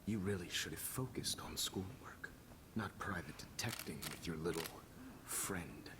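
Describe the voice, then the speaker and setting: An actor playing a menacing, condescending middle-aged teacher turned kidnapper in a video game, creepy and given to flaring rage. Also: male voice, low-pitched, a little slow, whispered. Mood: smug, sinister. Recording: noisy game capture.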